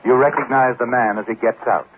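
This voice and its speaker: A man's voice: medium pitch, steady tone, a little fast, lightly acted. An actor playing an insinuating, suspenseful male narrator of a 1940s radio mystery. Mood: ominous, insinuating. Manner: insinuating, suspenseful second-person address. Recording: tinny, noisy 1940s radio recording.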